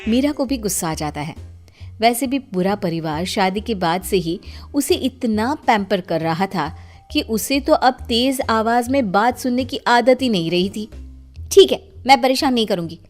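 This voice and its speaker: A woman narrating a dramatised story in an expressive, conversational way, as a storyteller. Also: medium pitch, measured pace, soft background music.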